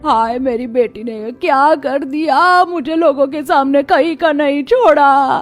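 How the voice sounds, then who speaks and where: A woman voicing a cruel middle-aged aunt in a Hindi cartoon story, with sharp, melodramatic delivery. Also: high-pitched, unhurried. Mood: distraught wailing.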